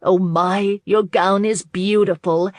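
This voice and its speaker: A woman's voice: medium pitch, steady tone, slow, darkish tone, dry recording, close to an everyday voice. A woman voicing a cheerful young noblewoman, effusive and beaming, in an audiobook romance. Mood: happy, eager. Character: cheerful, gushing young noblewoman, warm friend.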